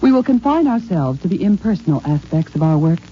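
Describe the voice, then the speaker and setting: A woman's voice: fairly low pitch, big pitch swings, brisk. An actress playing a composed, formal and emotionally guarded woman scientist in a 1950s radio drama. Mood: cool, formal. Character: cool, restrained woman geneticist professor in underground future. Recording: dull, muffled old radio recording.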